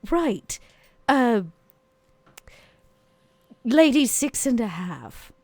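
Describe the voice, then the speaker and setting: A woman playing a tabletop roleplaying game, improvising dialogue and casual narration in a playful, animated way. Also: on the high side, extremely slow, dry recording.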